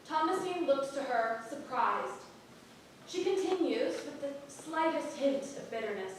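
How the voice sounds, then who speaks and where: A woman's voice: high voice, lively intonation, measured pace. A woman reading stage directions as the scripted narrator of a staged play reading in a theatre. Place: theatre stage, recorded from the audience. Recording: echoey hall, distant mic, faint noise.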